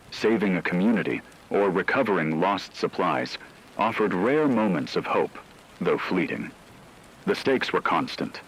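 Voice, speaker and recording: A low-pitched man delivers scripted apocalyptic sci-fi narration. His delivery is grave and measured, in a dramatic storytelling style. There is noticeable rain and noise behind his voice. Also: a bit bright, low-quality recording.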